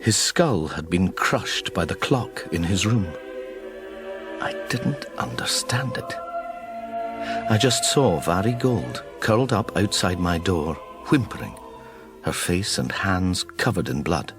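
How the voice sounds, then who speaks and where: An actor playing a troubled psychic detective who narrates his own story in a radio drama, slow and brooding. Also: low voice, expressive, music in the background. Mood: haunted, brooding. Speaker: narrator and lead character.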